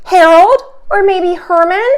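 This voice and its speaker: A woman's voice: very high-pitched, slow, pitched above the performer's own voice. A woman voicing a small young porcupine in a storybook, earnest, polite and eager in a childlike way. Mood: polite, curious.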